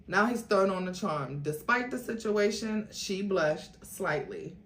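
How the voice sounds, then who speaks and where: A woman host reading a book aloud as theatrical narration, with expressive asides. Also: mid-range voice, measured pace. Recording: echoey room, faint background noise.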